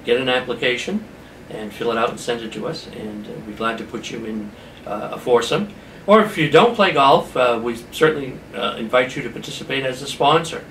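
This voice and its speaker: A man's voice: mid-range voice, a bit bright. Guy hosting a local chamber interview show, stop-start delivery, mic kinda far away, roomy with faint noise. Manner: halting, pauses mid-sentence. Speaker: talk show host, chamber of commerce representative. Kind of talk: informal community interview programme. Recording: roomy echo, distant mic, background noise.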